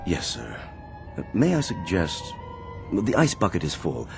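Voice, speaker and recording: A courteous chauffeur with a very deep voice that moves expressively. He is formal, deferential and drily unflappable, serving his employer in a radio play, with music clearly behind. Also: huge pitch swings, unhurried, exaggerated voice. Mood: deferential, dry. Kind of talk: radio drama acting.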